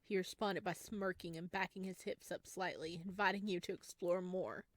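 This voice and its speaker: A woman playing through a visual novel, reading the text aloud and adding animated, laughing comments. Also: on the low side, normal speed, no room sound. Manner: animated, laughing asides between hushed narration. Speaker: game streamer.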